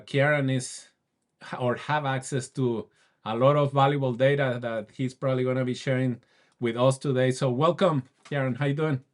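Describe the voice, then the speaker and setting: A man hosting a business podcast, welcoming a guest in a friendly, eager way and adding short affirmations. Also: medium pitch. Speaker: podcast host. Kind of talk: podcast interview.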